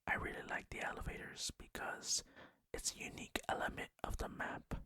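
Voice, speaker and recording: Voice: extremely high pitch, pushed and bright, dry recording. Close, dry, whispering ASMR host. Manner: unvoiced whispering throughout. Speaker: host of a gaming channel. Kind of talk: whispered ASMR narration of game facts.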